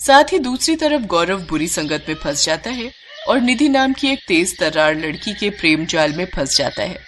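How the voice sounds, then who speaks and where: A woman narrating a scripted moral story in an expressive storyteller's style. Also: fairly low pitch, moderate pace, slight background noise.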